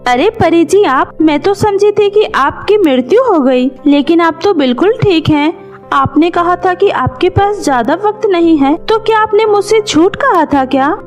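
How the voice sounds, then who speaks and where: Dutiful young widow played by a woman, earnest and plaintive, high register, cartoon story with backing music. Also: animated, a little slow. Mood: surprised, plaintive. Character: kind, dutiful young widow, poor village servant.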